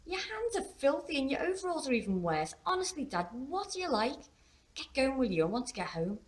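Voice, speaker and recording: A woman's voice: sits high, sing-song, a little fast, pitched above the performer's own voice. A woman voicing an anxious, teasing fifteen-year-old daughter in a novel reading, with an informal, exclamatory, questioning manner. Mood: exasperated.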